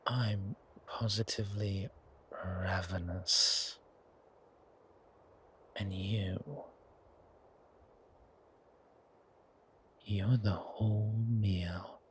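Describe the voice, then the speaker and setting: A man playing a suave, menacing, hungry vampire in an ASMR horror roleplay, with a softly taunting, drawn-out delivery. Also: on the low side, steady tone, slight background noise. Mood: hungry, predatory. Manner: slow, drawn-out, softly taunting, often whispering.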